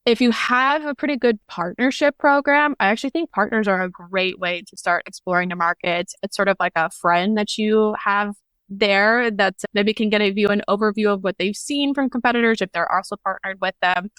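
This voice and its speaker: A woman's voice: medium pitch, fairly even intonation, moderate pace, fairly bright tone, smooth, dry recording. An American woman, around her thirties, answering interview questions about her marketing work in a thoughtful, explaining way. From United States. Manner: thoughtful, explanatory, uses "like" and "if you will". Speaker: guest, head of product marketing.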